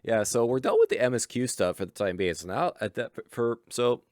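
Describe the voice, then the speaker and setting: A man's voice: mid-range voice, brisk, a little mellow, no echo, studio quality. A man chatting casually while streaming a game, laid-back and rambling.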